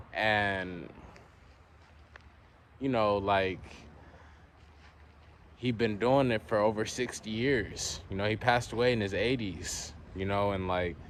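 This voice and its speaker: A man's voice: on the low side, slight background noise. A man from the Southern US with a Southern accent, rambling in an unscripted monologue and saying "you know" a lot. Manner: rambling, frequent "you know", laid-back. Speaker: vlogger.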